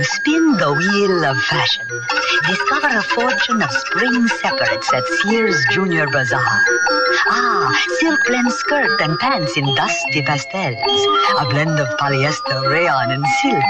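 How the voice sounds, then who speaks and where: Slow, swooping female advertisement announcer. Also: low voice. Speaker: commercial announcer. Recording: distant old radio, loud music.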